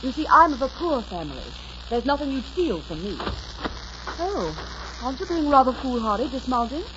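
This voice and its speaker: A woman playing a fearless, coquettish young gentlewoman with a teasing, poised manner in 1940s radio acting. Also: sits high, big pitch swings, normal speed. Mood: bold, teasing. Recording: dull, noisy 1940s radio recording.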